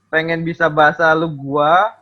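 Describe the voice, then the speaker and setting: A man from Papua telling stories in a casual chat among friends, in animated Jakarta slang. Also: on the high side, normal speed. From Papua, Indonesia. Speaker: podcast co-host. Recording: dull lo-fi audio.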